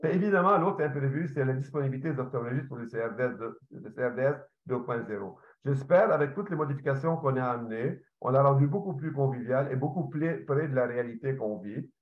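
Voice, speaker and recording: A man's voice: mid-range voice, fast, few pauses. A man giving an earnest conference talk as an ophthalmologist presenter, restarting now and then. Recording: echoey, dull lo-fi audio.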